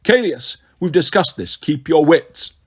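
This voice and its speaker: A man's voice: medium pitch, lively intonation, moderate pace, close to an everyday voice. An undead tyrant king out of a fantasy audiobook, acted by a male narrator. He commands and scolds with imperious menace, as if every listener were a servant. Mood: impatient. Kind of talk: audiobook character voice.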